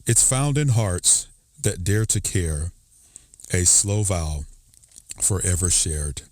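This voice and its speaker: A man with a low baritone voice, reading poems aloud in a measured, soothing voiceover. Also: expressive, a little mellow, no room sound, studio quality. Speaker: voiceover poetry reader. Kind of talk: slow dramatic poetry reading.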